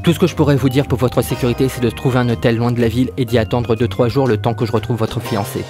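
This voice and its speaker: A man's voice: mid-range voice, fairly even intonation, fast, close to an everyday voice. Man acting weary noir private eye over music. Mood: firm, resolute. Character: hard-boiled 1930s American private detective, weary, wry and stubborn. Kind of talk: French audio drama, first-person noir narration and dialogue.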